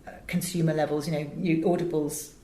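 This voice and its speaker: A woman's voice: low voice, little pitch movement, a little fast, dark tone. A middle-aged woman with a British English accent answering interview questions conversationally, with emphatic storytelling and frequent asides. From England. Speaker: interview guest, audiobook director and producer. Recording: roomy echo, faint background noise.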